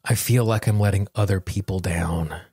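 A man hosting a self-help podcast, coaching listeners directly in measured, deliberate phrases. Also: low-pitched, mellow, slightly rough, no echo, clean studio sound. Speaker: podcast host and tapping coach.

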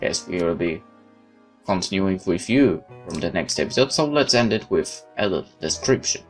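Low-voiced man reading game text aloud. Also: lively intonation, normal speed. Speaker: gaming video host. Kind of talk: video game let's play, reading text aloud with asides. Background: game music.

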